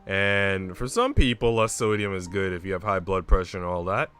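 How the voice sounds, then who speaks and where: A man's voice: low-pitched, lively intonation, moderate pace, a bit bright, clear, resonant tone, faint music behind. A man chatting informally and casually as he livestreams a game. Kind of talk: casual gaming stream chat and reading a visual novel aloud.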